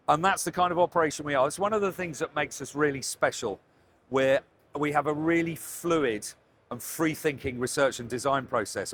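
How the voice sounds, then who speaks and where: A man's voice: medium pitch, a bit bright. British man presenting products over show-floor noise. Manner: effusive, with emphatic praise and repeated words. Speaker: company representative presenting products at a trade show. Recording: clear background noise of busy trade show. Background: trade show ambience noise.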